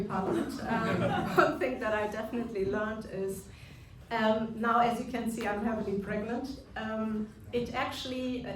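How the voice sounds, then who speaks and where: A woman in her thirties from Germany with a German accent gives an earnest awards-evening speech, pausing often on fillers. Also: on the high side. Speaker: award speaker. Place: large echoey function room. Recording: echoey room, distant mic, music behind.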